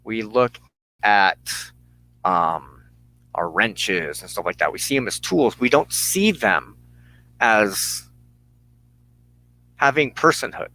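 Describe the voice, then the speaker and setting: Slow, deliberate man with a bright voice. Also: on the low side, lively intonation, no room sound, rough recording. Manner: halting, deliberate phrasing. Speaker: host of a spiritual broadcast. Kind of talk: reflective spiritual talk.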